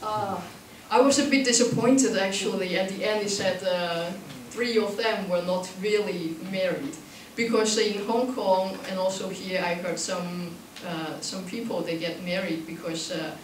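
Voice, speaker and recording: Woman, mid pitch, halting non-native phrasing, reflective panel talk, roomy distant sound, faint noise. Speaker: panel speaker. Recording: echoey room, distant mic, faint noise.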